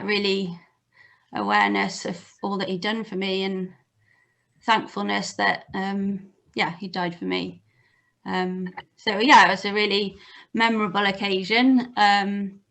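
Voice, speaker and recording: Female, young adult. Slow, gentle, conversational storyteller on a home video call. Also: mid-range voice, creaky. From Cardiff, Wales. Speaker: interview guest. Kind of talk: casual personal storytelling. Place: small home room with bookshelves, on a video call. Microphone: computer mic on video call.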